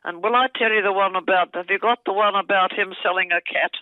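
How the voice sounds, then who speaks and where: An older Australian woman chatting and telling a rambling family story on the phone, laughing often and talking loudly. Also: medium pitch, fairly even intonation, fast, no room sound. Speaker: aunt recalling family stories on a phone call. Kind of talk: casual phone chat, storytelling. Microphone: telephone. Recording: tinny phone line, dry.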